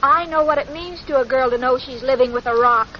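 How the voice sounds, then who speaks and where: An actress playing a sweet, naive, dim-witted young roommate with an American accent in a 1940s radio comedy. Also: female voice, high-pitched, lightly acted. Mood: sweet, earnest. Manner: naive, earnest, slow on the uptake. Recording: muffled, noisy vintage radio, studio audience.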